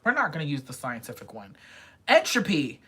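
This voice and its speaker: Low-voiced, gushing young woman fan. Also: sing-song, a little fast, breathy edge. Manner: chatty fangirl, gushing, drops into quiet whispers. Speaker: K-pop album reviewer. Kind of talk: casual music review vlog.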